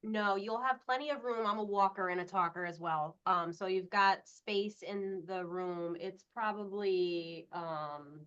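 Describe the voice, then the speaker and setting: A woman's voice: medium pitch, a little slow, hardly any pauses, a bit bright, smooth, muffled recording. A woman moderating an online meeting, speaking in a friendly, casual way. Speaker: session moderator.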